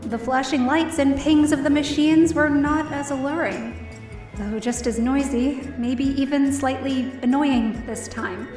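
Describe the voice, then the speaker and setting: An actress voices a worried daughter telling her story, reflective and subdued, in a fairly high voice. It is a scripted audio drama, heard in a roomy space a bit away from the mic. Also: steady tone, unhurried, darkish tone. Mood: uneasy, subdued. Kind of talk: first-person scripted narration in audio drama. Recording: roomy, distant mic, faint music behind.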